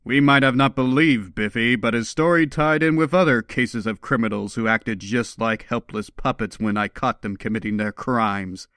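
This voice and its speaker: A man's voice: medium pitch, moderate pace, few pauses, a bit bright, clear, resonant tone, no echo, studio quality, natural voice. A man playing a confident, earnest comic-book superhero recounting his exploits. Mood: determined. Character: confident adult male superhero, earnest and quick-acting. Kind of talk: comic book performance, first-person recounting.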